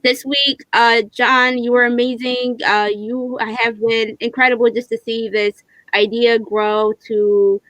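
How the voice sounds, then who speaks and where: A woman's voice: on the high side, little pitch movement, measured pace, a bit bright, dry recording, poor audio. A woman hosting a casual livestream in an enthusiastic, appreciative way. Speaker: host.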